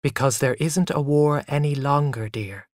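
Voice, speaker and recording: A man voicing a gentle but firm mother in a story, patient, hushed and chiding. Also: fairly high pitch, normal speed, no echo, studio quality, close to an everyday voice.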